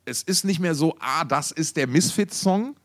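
A man's voice: on the high side, lively intonation, normal speed. A man co-hosting a podcast in casual, slangy, enthusiastic music talk, often repeating words as he searches for phrasing. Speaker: podcast co-host.

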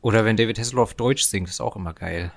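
A man's voice: on the low side, fast talker, gravelly. A man co-hosting a music podcast, chatting casually in a wry, bantering manner. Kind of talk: casual chat.

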